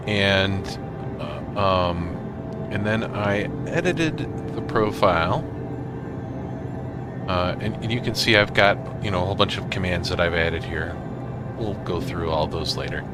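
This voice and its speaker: A man giving a casual software tutorial, thinking aloud in an informal, halting way. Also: deep voice. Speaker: tutorial host.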